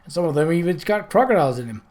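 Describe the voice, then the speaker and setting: A man voicing an excitable elderly postman who stammers and gets high and eager. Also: rapid-fire, close to an everyday voice. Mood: chatty. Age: older.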